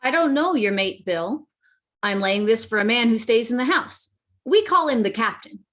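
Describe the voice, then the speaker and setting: Woman playing a polite, high-voiced young boy. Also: lively intonation, moderate pace, a bit bright. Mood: earnest, polite. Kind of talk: radio play acting.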